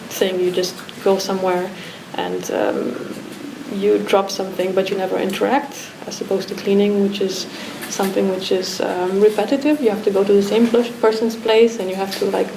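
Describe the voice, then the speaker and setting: A woman's voice: medium pitch, vocal fry. A woman from Romania, an artist, speaking reflectively with many restarts and fillers in a talk discussion. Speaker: artist guest. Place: art academy pavilion. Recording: echoey room with background noise.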